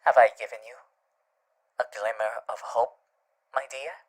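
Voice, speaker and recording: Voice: mid-range voice, steady tone, a little slow, breathy edge. An actor voicing a suave, theatrical male demon who soothes the listener tenderly in an ASMR roleplay, often dropping to murmurs. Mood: hushed, tender.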